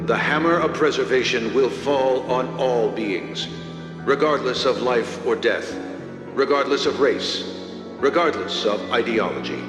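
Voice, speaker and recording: A man's voice: mid-range voice, lightly acted. An actor playing a solemn, grandiose proclaimer in a video game, declaiming his lines. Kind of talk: dramatic video game monologue. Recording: echoey hall with long reverb. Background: background music.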